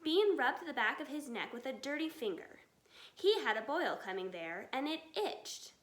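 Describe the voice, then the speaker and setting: A woman giving an expressive children's read-aloud. The voice is high and very animated, taken at an unhurried pace. The room adds a noticeable echo. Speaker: storybook reader.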